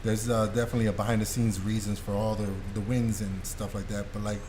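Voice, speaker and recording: A man's voice: on the low side, flat delivery, fast talker, background noise. A man hosting a podcast, chatting casually and asking questions in an informal, slangy way. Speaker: podcast host. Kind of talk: casual chat, interviewing.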